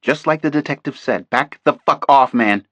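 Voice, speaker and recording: A man's voice: lowish voice, a little fast, no echo. A man voicing an icy, menacing contact man. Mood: cold menace. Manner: flat, menacing, offhand. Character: icy heavy-set male kidnapper's contact man.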